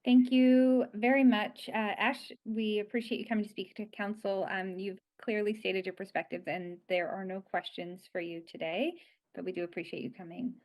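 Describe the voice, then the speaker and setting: A woman's voice: mid-range voice, little pitch movement, normal speed, darkish tone, low-quality recording. A woman chairing a city council committee, thanking speakers and calling the next one in polite, formal phrasing. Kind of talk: chairing a meeting.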